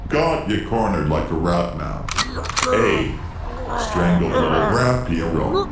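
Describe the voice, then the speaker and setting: A man's voice: deep voice, wildly swooping pitch, slow, very noisy, full character voice. A man playing a gloating, brutish thug villain with a coarse, taunting manner in a motion comic. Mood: gloating, threatening. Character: gloating, brutish male thug villain hunting a young hero. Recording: echoing voice under loud music.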